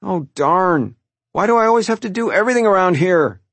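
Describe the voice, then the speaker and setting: A man's voice: fairly high pitch, expressive, a little slow, breathy edge, dry recording, pitched above the performer's own voice, full character voice. A man voicing a sulky, put-upon young boy who whines and pouts in an audiobook story. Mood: whining.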